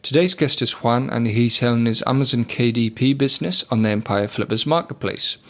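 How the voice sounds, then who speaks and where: Casting note: male podcast host, gravelly, upbeat and businesslike. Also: medium pitch, fairly quick, a bit bright. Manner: upbeat, reads listing details. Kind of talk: scripted podcast interview.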